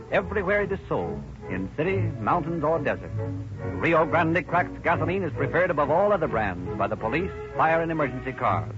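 A man announcing in formal, emphatic 1930s radio style. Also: mid-range voice. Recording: muffled old radio broadcast with music.

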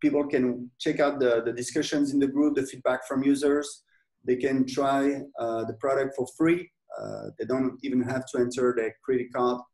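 A man's voice: mid-range voice, steady tone, normal speed, darkish tone. French-accented man, echoey room. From France. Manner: hesitant, many fillers and restarts. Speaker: software company owner. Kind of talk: casual interview.

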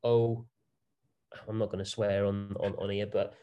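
A man's voice: fairly low pitch, flat delivery, measured pace, clear, resonant tone, highs cut off. A man, answering interview questions about his property business in a chatty way, full of fillers and restarts. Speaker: property investor guest.

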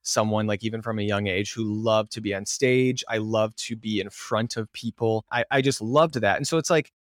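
A young man talking casually as a podcast guest, animated and excitable, peppering his talk with fillers and laughs. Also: fairly low pitch, normal speed, dry recording, clean studio sound. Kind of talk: casual conversational interview.

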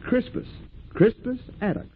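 An actor playing a haughty, irascible wealthy mill owner with pompous, clipped, demanding speech, in old radio drama style. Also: male voice, measured pace, close to an everyday voice. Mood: brusque. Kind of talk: 1940s radio acting. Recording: tinny, noisy old radio recording.

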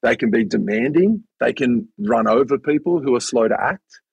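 A man's voice: mid-range voice, animated, normal speed, vocal fry, slightly rough, dry recording, rough recording. A man on a casual podcast who mentors students and athletes and explains things steadily, often restarting his sentences.

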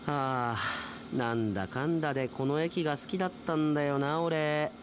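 A man playing an earnest, sentimental young railway station worker in a theatrical, expressive radio drama style. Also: on the high side, moderate pace, slight background noise, voice pushed down, natural voice. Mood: wistful.

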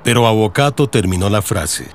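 A man's voice: lowish voice, expressive, normal speed, slight background noise. A man with a Colombian accent narrating a dramatized audiobook in the style of a radio serial. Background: music under the voice.

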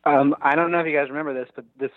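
A forty-year-old man talking casually and haltingly as a screenwriter taking feedback in a group discussion. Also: on the high side, steady tone, dry recording, narrow, tinny audio. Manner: hesitant, halting. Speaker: screenwriter getting script feedback.

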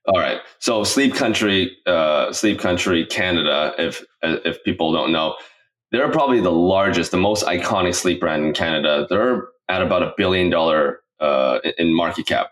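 A man's voice: deep voice, normal speed, fairly bright tone. A man hosting a podcast in an informal, enthusiastic way, talking straight to his listeners. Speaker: podcast host. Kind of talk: upbeat podcast intro and casual interview.

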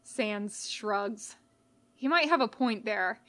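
A woman narrating scripted fiction in a measured, dramatic way with pauses. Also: medium pitch, animated, a bit bright. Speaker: podfic reader.

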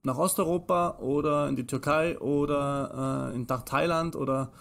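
Austrian man, early thirties, higher-set voice, emphatic explainer who poses questions and answers them himself. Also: steady tone, moderate pace, creaky. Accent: Austrian German. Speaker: financial advisor, interview guest. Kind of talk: explanatory conversation.

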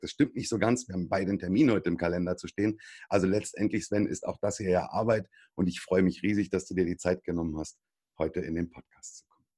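Low-voiced enthusiastic German podcast host. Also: male voice, a little mellow. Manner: upbeat, enthusiastic intro delivery. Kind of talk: podcast interview.

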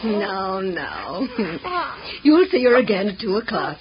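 A woman acting as a cheery, brisk, kindly hospital nurse in a 1940s radio drama. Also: medium pitch, sing-song. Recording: dull, noisy 1940 radio broadcast.

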